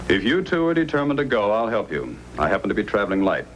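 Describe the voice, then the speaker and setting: Commanding Yukon Mountie, lowish and animated, vintage radio serial with background noise. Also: male voice, fast. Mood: concerned, helpful. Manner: commanding, questioning, firm. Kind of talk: old-time radio acting. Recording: noisy old radio drama recording.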